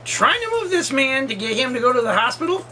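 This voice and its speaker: Male, 40s, casual storytime vlogger. Bright, forceful delivery, rambling and repetitive, faint music underneath. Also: extremely high pitch, big pitch swings, brisk, poor audio. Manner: rambling, emphatic, repeats himself.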